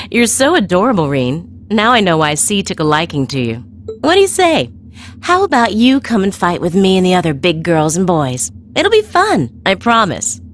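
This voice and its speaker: Coy, teasing, low-voiced woman villain. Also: wildly swooping pitch, normal speed, a little hiss or hum. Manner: coy, teasing, sing-song. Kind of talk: video game voice acting.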